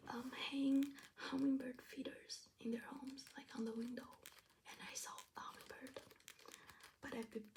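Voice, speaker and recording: A young woman from China, whispering softly as she flips through her travel journal, with many ums and restarts. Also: on the high side, slight background noise, low-quality recording. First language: Chinese. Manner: soft whisper with fillers and restarts.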